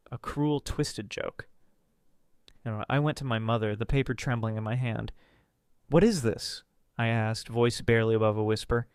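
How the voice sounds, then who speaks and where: A man narrating a first-person family drama story in an earnest, measured way with dramatic pauses. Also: mid-range voice, smooth, no echo, studio quality.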